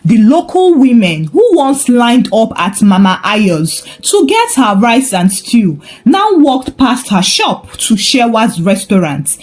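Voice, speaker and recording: Female folktale narrator: unhurried, scripted storytelling with a fairly high, animated voice.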